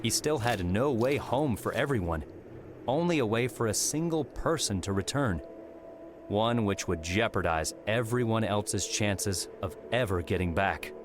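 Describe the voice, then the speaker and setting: A man's voice: on the low side, expressive, measured pace, noisy background. A man reading scripted story narration for an audiobook. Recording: music behind the voice.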